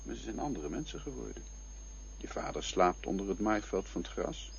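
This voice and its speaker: An actor playing a reflective companion who speaks in a poetic, musing way. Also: male voice, lowish voice, expressive, moderate pace, gravelly, a little hiss or hum. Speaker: actor in a radio play. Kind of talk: radio drama acting. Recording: dull lo-fi recording with faint music.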